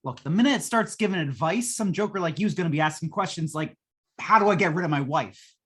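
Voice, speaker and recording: Performer as a husky, excitable radio-play working stiff. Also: mid-range voice, fast talker. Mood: skeptical. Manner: slangy, emphatic, exasperated. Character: worried, excitable working-man repairman, narrating his tale. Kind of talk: 1950s radio acting.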